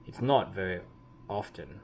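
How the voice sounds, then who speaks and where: A man reading a children's story aloud expressively, with deliberate emphasis and drawn-out key words. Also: sits low, expressive. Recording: clearly audible background noise.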